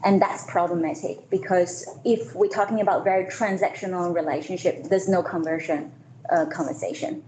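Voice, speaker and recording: A woman's voice: lowish voice, fairly even intonation, normal speed, dark tone. A woman from China and Malaysia giving an informal business presentation to a meeting. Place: meeting room, hybrid event. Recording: echoey room, faint noise.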